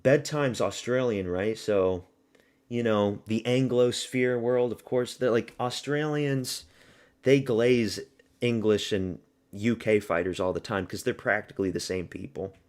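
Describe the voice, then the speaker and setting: A man with a fairly low voice hosts a live watch-party stream. He talks in a loose, casual way, swearing freely and answering his viewers as he goes. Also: unhurried, darkish tone. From American South. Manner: loose and profane, chatting with viewers.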